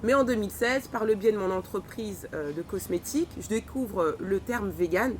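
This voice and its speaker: A woman in her forties from France, a podcast guest who talks in a measured, thoughtful way in casual conversation. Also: medium pitch, lively intonation. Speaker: guest, environmental engineer. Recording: faint background noise.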